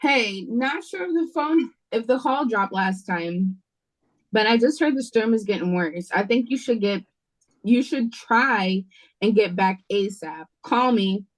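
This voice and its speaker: A woman's voice: mid-range voice, a little slow, natural voice, rough recording. A woman playing a frazzled, sassy waitress in an audio drama read-through over a video call. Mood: urgent, exasperated. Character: frazzled, snappy young waitress on a night shift.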